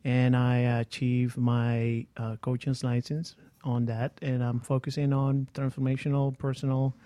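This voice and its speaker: A man being interviewed as a guest on a radio talk show, speaking earnestly and at a measured pace as a life coach. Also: mid-range voice, little pitch movement, mellow, no echo.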